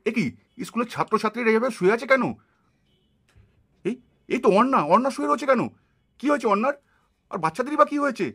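Man playing an urgent, high-voiced cartoon rescuer. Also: fast, pauses often, a bit bright, breathy edge, heavily acted. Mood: alarmed. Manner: urgent, emphatic. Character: protective, alarmed village man, a heroic rescuer. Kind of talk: puppet cartoon acting.

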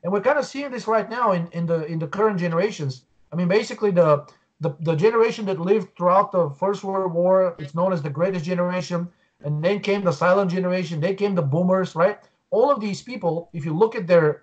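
Guest, man, 40s, from Bulgaria, earnest explainer, home video call, muffled lo-fi sound. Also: high voice. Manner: earnest, explanatory, many restarts. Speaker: guest researcher. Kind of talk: interview over video call. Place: home room, indoors. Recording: dull lo-fi call audio.

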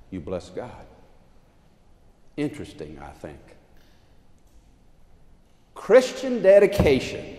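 An older man delivering a sermon with a lively, swooping voice that rises high and drops low. He moves about the chancel and raises his arms as he preaches. The sound is roomy, with a long church reverb, heard from a distance. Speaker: pastor. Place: large church sanctuary.

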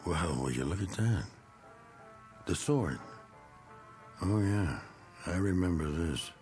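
An actor playing a blunt, gruff middle-aged man who is clearing out his cluttered attic, in a TV drama. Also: low-pitched, lively intonation, slow, a little mellow, soft background music. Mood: grumbling. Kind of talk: 1980s TV drama acting.

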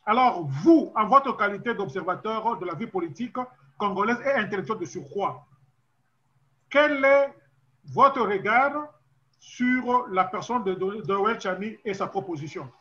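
A middle-aged man hosting a formal political debate over a video call, speaking in a measured way with frequent hesitations. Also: high-pitched, expressive, fairly bright tone, muffled recording. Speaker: talk show host.

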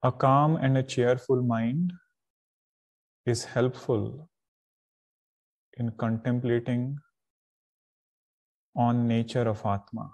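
Male meditation teacher, soft-edged dark tone, unhurried delivery in calm short phrases with pauses. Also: mid-range voice, rough recording. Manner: calm, deliberate, pausing between short phrases. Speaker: spiritual teacher leading guided meditation. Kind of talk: guided meditation instruction.

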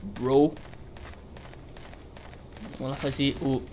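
A man's voice: medium pitch, little pitch movement, drawn-out words, a little mellow, a little hiss or hum. A young man with a Brazilian accent rambles casually through gameplay commentary. He is slangy and joking, close on the mic, with faint game ambience and typing behind him. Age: young adult. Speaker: gaming streamer. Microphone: close desk microphone. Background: game ambience, keyboard typing.

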